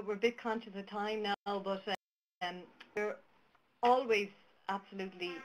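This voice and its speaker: A woman's voice: mid-range voice, low-quality recording. A woman with an Irish accent hosting a webcast over a webcam mic, speaking hesitantly before reading comments aloud. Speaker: webcast presenter. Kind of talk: webcast presentation.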